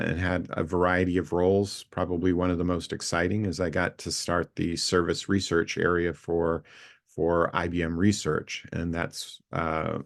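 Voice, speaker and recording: A man in his late sixties talking casually on a video call, introducing himself to a community group in a rambling, friendly way with many fillers and restarts. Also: low-pitched. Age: 60s. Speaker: co-founder introducing an online community session.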